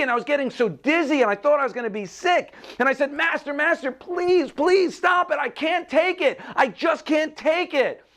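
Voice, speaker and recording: A man's voice: extremely high pitch, fairly bright tone, voice pushed up. A man voicing a fragile talking teacup from a story, panicky and melodramatic, begging over and over. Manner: breathless repetitive begging, melodramatic.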